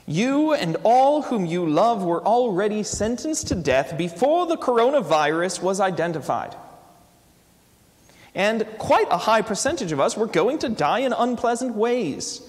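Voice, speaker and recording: A man acts out a brisk, stoical British man of letters reading his essay aloud. The voice is fairly high and theatrical, with a put-on British accent, ringing in a long church reverb. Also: big pitch swings. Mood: stirring, firm.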